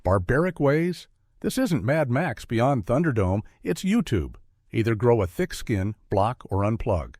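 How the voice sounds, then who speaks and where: A man's voice: mid-range voice, big pitch swings, measured pace, darkish tone, no echo. Sarcastic man, dry close recording. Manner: sarcastic, blunt, confrontational. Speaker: host, mock interviewer. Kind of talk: scripted satirical interview commentary.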